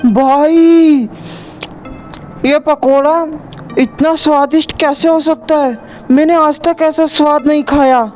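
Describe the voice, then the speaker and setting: A man's voice: very high voice, steady tone, slow, a little mellow, faint music behind, pitched above the performer's own voice. A man voicing a cocky, joking village boy in a horror cartoon story, breezy and dismissive. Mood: amazed.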